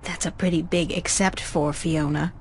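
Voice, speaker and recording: A woman's voice: on the low side, steady tone, moderate pace, a little hiss or hum, close to an everyday voice. A young woman voicing a weary, wry, easygoing art student in a video game, with a casual, understated delivery. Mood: friendly, curious. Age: young adult. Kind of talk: conversational video game voice acting.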